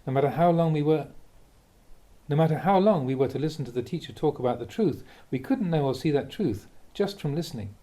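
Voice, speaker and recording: Male monk reading aloud; animated, melodic delivery, calm and reflective. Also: on the high side, brisk. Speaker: Buddhist monk reading teachings. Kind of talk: reading a dharma talk aloud.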